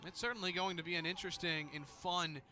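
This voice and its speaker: A man's voice: sits high, steady tone, fast talker. An American man doing live play-by-play sports commentary, with the sound of an outdoor game behind him. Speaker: play-by-play announcer. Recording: clear outdoor stadium noise behind. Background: outdoor ambience of a game.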